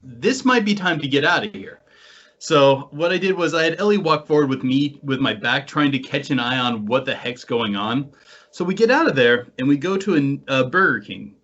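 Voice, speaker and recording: An American man hosting a podcast, telling anecdotes in a casual, animated storytelling way. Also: mid-range voice, normal speed. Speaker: podcast host and paranormal investigator. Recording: dull, lo-fi online call audio.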